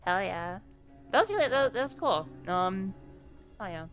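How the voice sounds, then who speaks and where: A woman's voice: medium pitch, big pitch swings, normal speed, a bit bright, soft background music. A woman speaking informally as game master of a tabletop roleplaying game, chatting and narrating casually.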